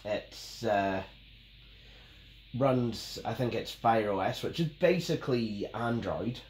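Scottish tech vlogger with a cold, low voice, nasal, roomy home-office sound with faint noise. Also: male voice, slow pace, darkish tone. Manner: rambling, with many ums and restarts. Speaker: tech video host. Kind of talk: casual unboxing and review. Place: small home office, indoors. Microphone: camera mic at the desk.

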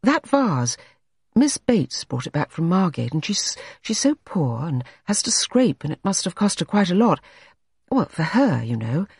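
A reader voicing an anxious, meek woman in an audiobook story, halting and apologetic as she tries to please. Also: medium pitch, very animated, breathy edge, no room sound, studio quality, voice pushed up, heavily acted.

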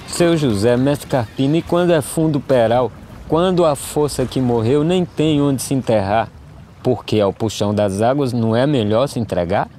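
A Brazilian actor playing a gaunt, despairing drought migrant in an animated verse drama, solemnly declaiming poetic questions. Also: male voice, medium pitch, moderate pace, lightly acted. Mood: bitter, desperate. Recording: outdoor ambience noise behind the voice.

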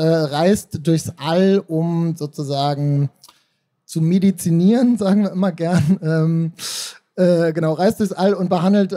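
A man in his thirties talks casually into a handheld mic as a guest on stage. His tone is mellow and relaxed, pitched a bit high. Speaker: author, interview guest. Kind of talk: casual live stage interview. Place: stage at a book fair. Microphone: handheld microphone.

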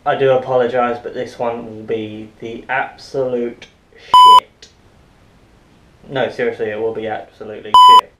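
A young man chats casually and mocks himself between giggles. His pitch swings widely. The small kitchen gives the voice an echoey ring. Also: wildly swooping pitch, a little slow, heavy background noise. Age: young adult. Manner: self-mocking, giggly. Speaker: comedy vlogger. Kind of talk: casual vlog.

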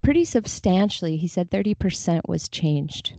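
A woman's voice: fairly low pitch, expressive, normal speed, mellow, no room sound. A woman talking casually and thoughtfully as a guest translator in a podcast interview, explaining her views.

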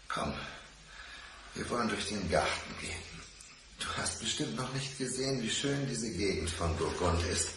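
Man acting bitter ex-husband bent on revenge, deliberate, mock-polite, mid-pitched, roomy radio crime drama. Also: lightly acted. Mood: cold, resolute. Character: bitter abandoned ex-husband, calmly menacing, set on revenge. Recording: roomy studio sound, faint noise.

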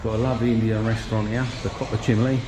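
A man with a fairly low voice narrates a casual walking tour, pointing things out in a relaxed, chatty way as he strolls. He records outdoors on a handheld camera. Clear street noise and passing traffic sit behind him. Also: very fast. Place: outdoor shopping plaza in sunshine.

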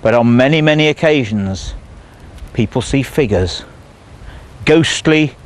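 A middle-aged man presenting to camera outdoors among castle ruins, measured and dropping low for the eerie parts. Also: lively intonation. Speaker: ghost tour presenter.

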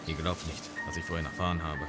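A man's voice: a bass voice, fairly even intonation, fast talker, loud music behind the voice. An actor playing an earnest, dutiful criminal henchman in an audio drama. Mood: urgent, hushed.

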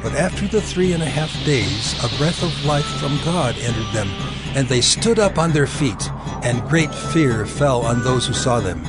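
A male narrator with a medium-pitched voice reads scripture dramatically. His storytelling is solemn and deliberate, with loud music behind him. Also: lively intonation.

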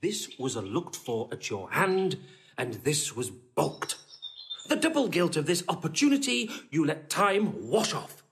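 A mischievous, witty gentleman in a classic comedy audio play. His high voice rises and dips widely as he persuades with flamboyant mock earnestness. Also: sing-song, measured pace, exaggerated voice. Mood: goading. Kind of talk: classic stage comedy acting, recorded audio drama.

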